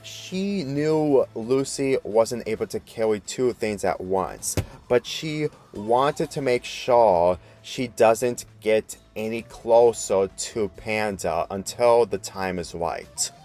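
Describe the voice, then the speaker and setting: Male story reader, fairly low register, scripted narration with music underneath. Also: lively intonation, slow pace, few pauses, a little mellow. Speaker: fan fiction reader.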